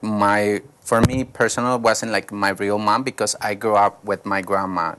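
A man, a pastor, telling his life story in a studio interview, halting and searching for words. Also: on the low side, a bit bright. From Colombia. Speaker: pastor, guest. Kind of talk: personal testimony interview.

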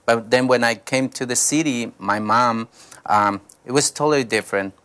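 A man, a pastor, telling his life story in a studio interview, halting and searching for words. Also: mid-range voice, fairly bright tone. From Colombia. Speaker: pastor, guest. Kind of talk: personal testimony interview.

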